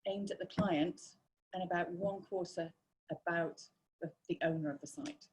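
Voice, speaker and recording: A woman's voice: on the low side, fairly even intonation, moderate pace, darkish tone, poor audio. A woman taking part in a live Q&A call, asking her question hesitantly and searching for words.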